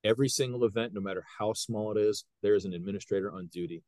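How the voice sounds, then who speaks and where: A man in his fifties from Ohio, a high school wrestling coach who talks earnestly in a casual podcast chat and often acts out what people said. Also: quick pace, a little mellow, dry recording, studio quality. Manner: earnest, retells scenes by quoting people.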